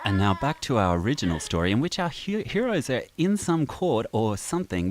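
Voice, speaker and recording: A man's voice: fairly low pitch, no room sound. A man playing a vague, bemused announcer narrating a comic radio serial in an offhand, digressive way.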